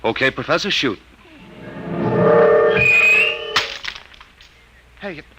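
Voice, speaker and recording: A man's voice: on the high side, animated, fast, heavy background noise, lightly acted. An actor playing a down-to-earth young American man, colloquial and wisecracking, in the manner of 1950s radio drama. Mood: amazed. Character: slangy, down-to-earth young man, the professor's loyal confidant.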